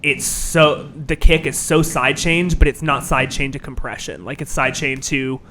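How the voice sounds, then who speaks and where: A young man with a high voice chats loosely about music, rambling and restarting with lots of fillers. Faint noise sits behind him. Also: normal speed, sharp tone. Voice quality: high register voice. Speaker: guest musician. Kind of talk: casual interview chat.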